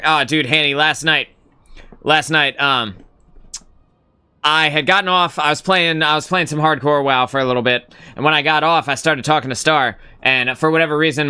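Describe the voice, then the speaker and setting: A man's voice: a little high, bright, forceful tone, smooth. A young man hosting a casual live gaming stream, rambling and swearing casually as he greets chat.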